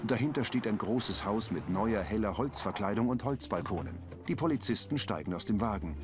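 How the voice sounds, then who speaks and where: A man with a fairly low voice reads stage directions for a crime comedy in a calm, even way. Music plays clearly underneath him. He keeps a steady, matter-of-fact tone. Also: a little fast. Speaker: audio description narrator. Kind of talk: scripted audio description.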